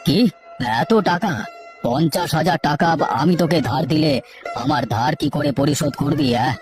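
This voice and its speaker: A woman's voice: deep voice, normal speed, natural voice. A woman doing a smug, greedy village landlord. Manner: smug, condescending, addresses the borrower as an inferior. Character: greedy scheming village landlord, older man. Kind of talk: cartoon voice acting. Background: background music.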